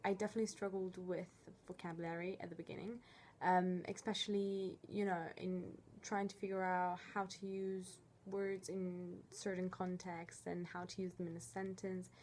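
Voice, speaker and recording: A woman's voice: fairly low pitch, level tone, moderate pace, hardly any pauses, darkish tone, slight background noise. A young woman whose first language is Italian, giving casual advice on learning languages, hesitant with many ums.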